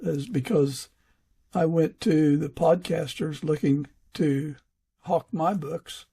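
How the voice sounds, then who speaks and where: A man hosting a podcast, chatting casually with a guest and pausing often mid-sentence. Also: fairly high pitch, gravelly, mellow, slightly rough. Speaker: podcast host; fiction author. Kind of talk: casual interview chat.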